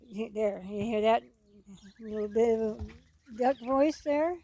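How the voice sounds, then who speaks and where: A woman's voice: a little high, takes it slowly, mellow. A woman giving a casual, rambling outdoor vlog commentary on a farm, folksy and often repeating her phrases. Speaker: farm owner filming own geese and ducks. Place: outdoors on a rural farm, under a tree. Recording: outdoor noise with clicks and birds. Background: geese and bird calls, outdoor noise.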